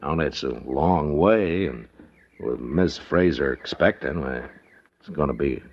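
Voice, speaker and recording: An actor playing a calm, plain-spoken American frontier marshal in an old-time radio western, measured and courteous. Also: male voice, extremely low pitch, heavily acted. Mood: calm, persuasive. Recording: dull noisy old radio recording.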